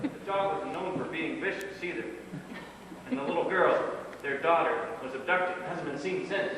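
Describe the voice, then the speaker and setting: A man's voice: on the high side, normal speed, lightly acted. A man acting a folksy, earnest father who rambles like a storyteller in an amateur stage play. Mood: earnest storytelling. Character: folksy father spinning grim storm tales, earnest and nosy. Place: small theatre stage, recorded from the audience. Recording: echoey theatre stage from far off, noisy.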